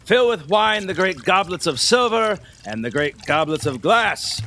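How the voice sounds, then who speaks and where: A man's voice: high voice, big pitch swings, a little slow, pushed and bright, a little hiss or hum, exaggerated voice. A man acting a pompous, lecherous, superstitious king in an audio play, grandiose and rambling. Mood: grand, jovial.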